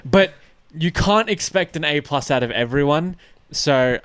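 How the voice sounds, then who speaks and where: A man's voice: a little high, animated, unhurried, a bit bright, smooth. A man with an Australian accent chatting casually on a podcast, joking, laughing and swearing a lot.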